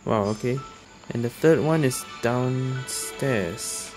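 A man's voice: mid-range voice, slow, over music. A man giving casual playthrough commentary as a gamer host, reading choices aloud.

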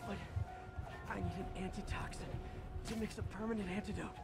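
Young wisecracking superhero, high voice, wry asides, music bed behind. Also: male voice, steady tone, creaky. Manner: quick, wry, talking to himself. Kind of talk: video game voice acting. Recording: game audio with music underneath.

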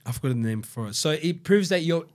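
Animated man, slangy. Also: fairly high pitch, fast. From Brazil. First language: Portuguese. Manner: animated, slangy, restarts and repeats words. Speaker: podcast guest. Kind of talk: casual interview storytelling. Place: dark podcast studio. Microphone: studio mic on boom arm.

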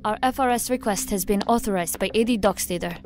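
A woman's voice: medium pitch, fast, close to an everyday voice. A woman playing a businesslike technical agent in a science fiction audio drama, formal and precise in her speech.

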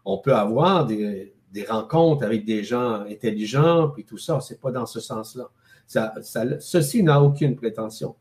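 Older Québécois man, mellow and measured. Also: medium pitch. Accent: Québécois French. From Quebec City, Canada. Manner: slow, measured, many hesitations. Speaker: guest. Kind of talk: spiritual interview talk. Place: home room with plants. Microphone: video call.

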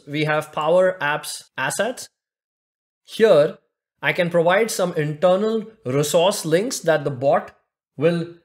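A young man walks through a software demo step by step, his voice sitting a little higher than most men's. He explains each click at a steady, even pace, speaking into a desk microphone at his computer. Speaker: tech tutorial presenter. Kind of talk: screen-recorded software demo narration.

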